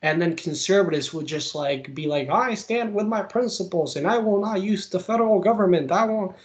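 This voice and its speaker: A young man from Venezuela, a political commentator, answering interview questions in a casual, animated way with many fillers and quick bursts. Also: sits high.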